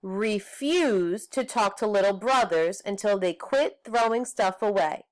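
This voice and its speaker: A woman's voice: medium pitch, unhurried, close to an everyday voice. A woman reading a storybook as an indignant, bossy young girl with an exasperated, preachy manner. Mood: sarcastic, firm.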